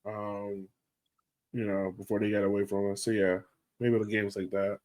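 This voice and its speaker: A man hosting a casual sports podcast, talking informally and thinking out loud as he goes. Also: on the low side, level tone, moderate pace, rough recording. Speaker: podcast host.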